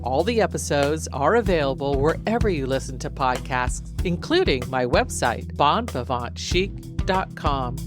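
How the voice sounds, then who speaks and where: A woman hosting a podcast, who asks thoughtful questions and closes the show in a gentle, affirming manner. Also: low voice, lively intonation, normal speed, few pauses, music in the background. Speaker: podcast host. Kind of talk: interview and podcast outro.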